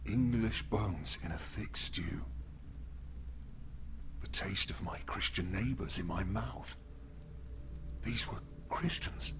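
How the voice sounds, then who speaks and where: An actor playing a haunted dead plague victim, delivering a halting horror monologue that sinks into breathy murmurs. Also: male voice, on the low side, slight background noise. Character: haunted undead plague victim recalling his burial.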